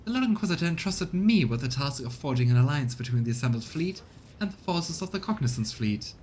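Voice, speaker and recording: A man's voice: animated, a little fast, darkish tone, lightly acted. A man voicing an arrogant female inquisition agent in a video game, haughty, formal and condescending. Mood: cool, superior. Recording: rumbly background noise.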